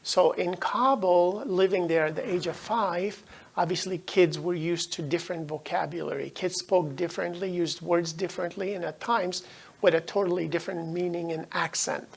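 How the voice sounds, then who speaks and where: A middle-aged man from Afghanistan, a native Dari speaker, giving a measured, explanatory recorded lecture as a professor. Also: high-pitched, steady tone, creaky, breathy edge.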